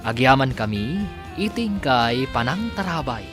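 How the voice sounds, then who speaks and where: A man's voice: mid-range voice, very animated, unhurried, music in the background. A man with an Ilocano accent reading dramatic scripted narration as a radio drama announcer.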